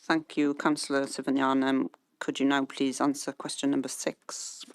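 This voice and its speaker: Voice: mid-range voice, monotone, normal speed. A meeting chair keeping a council meeting moving with brief, procedural cues. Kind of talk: formal chairing.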